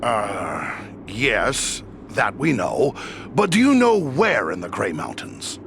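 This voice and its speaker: An actor playing a plain-spoken, practical adventurer in a fantasy video game, direct and questioning. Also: male voice, mid-range voice, big pitch swings, slow, gravelly, a bit bright, a little hiss or hum, exaggerated voice. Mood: impatient, questioning. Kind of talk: video game cutscene acting.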